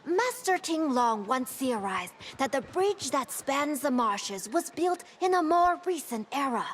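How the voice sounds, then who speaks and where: A very high, lively female voice an excitable scholar in a fantasy game. She muses aloud with wide swings in pitch and great curiosity, over faint background noise. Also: unhurried. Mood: curious, puzzled. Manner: animated, musing aloud. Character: excitable, inquisitive female scholar of ancient stones. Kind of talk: fantasy game dialogue acting.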